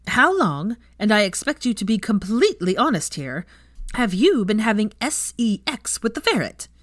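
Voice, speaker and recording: A woman reading a story aloud, voicing a bossy young woman who questions her friend with mock severity and a raised, higher voice. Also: sing-song, moderate pace, fairly bright tone, no echo, studio quality. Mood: probing. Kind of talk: audiobook character voice.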